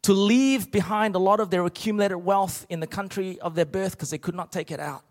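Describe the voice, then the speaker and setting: Male preacher, middle-aged, Australian accent. Notably high voice, emphatic comic storyteller, big reverberant hall. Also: fairly quick. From Australia, born in Malaysia. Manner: emphatic, rhetorical questions to the congregation. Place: large church auditorium, speaking to a congregation.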